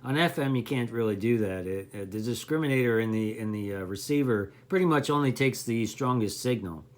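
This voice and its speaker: Guy just chatting thru hobby tech stuff for beginners, folksy, kinda rambly, avg pitch, fixes his words as he goes. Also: a little fast. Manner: rambling, folksy, self-correcting. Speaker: CB radio hobbyist explaining gear to beginners. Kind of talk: casual unscripted explainer.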